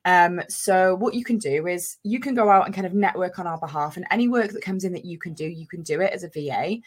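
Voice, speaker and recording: A woman's voice: fairly low pitch, fairly quick, slightly rough. A woman in her thirties from North Wales, chatting as a podcast guest in a rambling way with lots of fillers. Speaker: guest, business owner. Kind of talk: casual interview chat.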